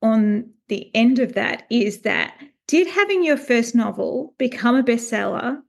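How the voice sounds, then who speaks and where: Casting: female book-chat host, highish creaky voice, effusive delivery. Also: animated, a little slow. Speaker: interview host.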